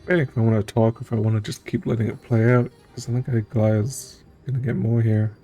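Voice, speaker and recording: A man giving casual streamer commentary on a game story, thinking aloud in a musing, halting way. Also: medium pitch, steady tone, dark tone, faint music behind.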